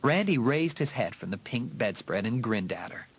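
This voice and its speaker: A man's voice: mid-range voice, very animated, moderate pace, slightly rough. A man reading a dramatised horror audiobook as a scripted narrator.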